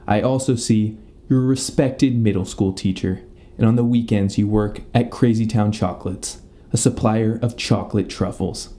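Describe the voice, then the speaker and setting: A man's voice: lowish voice, fairly even intonation, moderate pace, warm tone, natural voice. A man playing a stern, methodical detective in a mock police interrogation. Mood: stern, deliberate.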